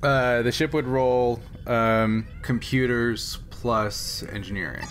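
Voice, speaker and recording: A man acting as game master of a casual tabletop game, explaining things in a halting way. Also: medium pitch, a bit bright. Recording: clearly noisy room ambience.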